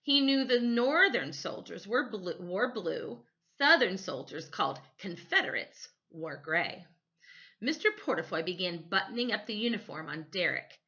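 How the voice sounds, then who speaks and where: A woman's voice: medium pitch, lively intonation, measured pace, sharp tone, dull audio. A woman reading a children's story aloud expressively, as a storytime teacher.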